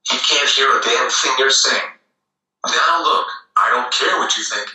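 A man's voice: medium pitch, unhurried, bright, forceful tone. A man acting a defiant, insistent American in a film audition scene. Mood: urgent, insistent. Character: defiant American man covering for his companion. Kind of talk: acted film audition dialogue. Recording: echoey room, far from microphone.

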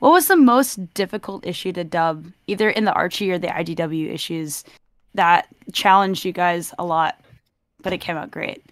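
A woman's voice: fairly low pitch, moderate pace, no echo, studio quality. A woman speaking casually as an audience member putting a question to a panel.

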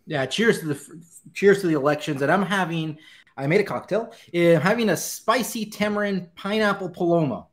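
A man from Colombia hosting a casual chat over a video call, upbeat and animated. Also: high voice, normal speed. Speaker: podcast host. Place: home room indoors. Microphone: video call webcam.

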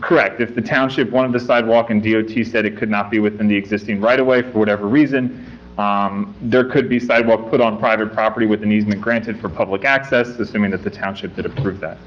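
Lower-voiced male engineer at a podium mic, measured and technical, muffled meeting-room recording with faint hiss. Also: steady tone. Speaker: traffic engineer testifying for applicant. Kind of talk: formal testimony at a public meeting.

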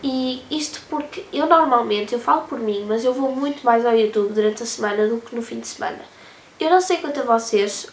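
A hoarse teenage girl with a European Portuguese accent, chatting casually to the camera as a vlogger from her bedroom. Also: on the high side, echoey room. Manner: chatty, talks with her hands. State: hoarse from a cold. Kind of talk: casual vlog talk to camera. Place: bedroom, indoors.

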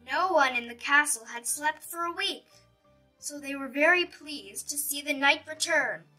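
A woman's voice: high-pitched, unhurried, pushed and bright, distant mic, faint music behind, poor audio. A woman reading a children's picture book aloud expressively, with dramatic storytelling emphasis.

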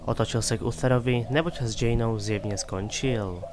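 A man's voice: medium pitch, background noise. A man giving scripted dramatic narration of a fantasy story as the narrator of an audio drama. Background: music.

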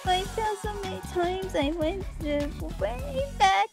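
A woman's voice: extremely high pitch, animated, unhurried. A woman playing a party game with friends, playful and teasing, cursing at misses. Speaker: guest player. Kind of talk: casual let's-play chat. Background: game music.

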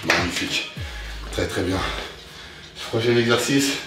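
A man's voice: on the low side, lively intonation, unhurried. A young man coaching a workout while exercising, cheering on with short, motivating instructions. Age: young adult. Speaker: fitness coach. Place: living room, indoors. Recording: very echoey room, distant mic, music behind. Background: clear music.

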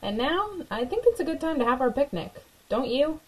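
Woman reading as a calm, kind teacher. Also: high voice, rough recording. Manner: gentle, measured teacherly instructions. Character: calm, kind female schoolteacher.

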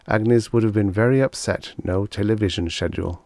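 A man narrating a story as an audiobook reader, measured and expressive. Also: on the low side, gravelly, no room sound.

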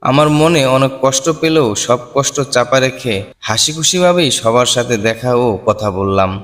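A man's voice: medium pitch, moderate pace, a bit bright. A man reading a scripted first-person cartoon story in an earnest, sorrowful manner. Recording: long hall reverb. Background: music.

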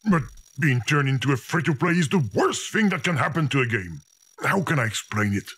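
Theatrical, swooping game program. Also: male voice, medium pitch, very animated, brisk, a bit bright, a little hiss or hum. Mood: exasperated. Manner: theatrical, swinging from mournful to panicked. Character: excitable, melodramatic sentient computer game program, male. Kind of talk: video game character voice acting.

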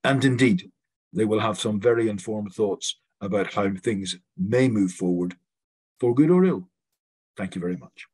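A man's voice: lowish voice, moderate pace, a little mellow, breathy edge, dry recording. A man speaking on a discussion panel, formal and courteous, with hesitant filler sounds.

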